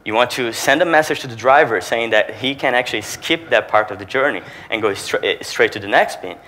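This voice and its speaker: A man's voice: medium pitch, animated, a little fast, pushed and bright, low-quality recording. A man who speaks Portuguese as his first language, with a Portuguese accent, giving a conference presentation as a sales manager and explaining with rhetorical questions to the audience.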